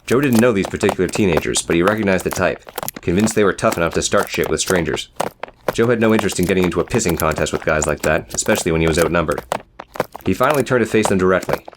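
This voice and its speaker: A man's voice: deep voice, fast, background noise. A man narrating a scripted, dramatic story as an audiobook reader.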